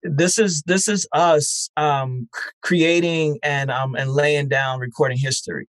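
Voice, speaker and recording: A man's voice: a little high, fairly even intonation, measured pace, fairly bright tone, no echo, poor audio. Earnest, thoughtful man with a dry voice. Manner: thoughtful, earnest, many "um"s and restarts. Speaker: podcast festival founder, guest. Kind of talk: casual interview talk.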